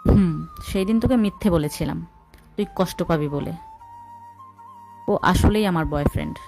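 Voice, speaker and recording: A woman's voice: fairly low pitch, moderate pace, music in the background, close to an everyday voice. A woman playing a cruel, haughty young rich girlfriend, scornful and dismissive, in an audio love-story drama. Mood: cold contempt.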